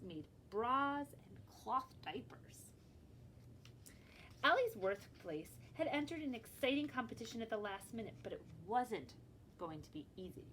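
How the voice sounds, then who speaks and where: A woman with a fairly high, very animated voice reads a children's picture book aloud. She is expressive, stepping out of the text to explain and prompt young listeners. Faint noise sits behind her voice. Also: low-quality recording. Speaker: librarian reading aloud to children.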